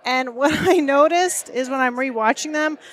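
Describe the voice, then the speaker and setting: A woman co-hosts a podcast from a trade show booth, and her fairly high voice runs chatty and upbeat. She keeps the talk loose, leaning on fillers as she goes. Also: moderate pace, a little hiss or hum. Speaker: podcast co-host. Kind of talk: casual chat.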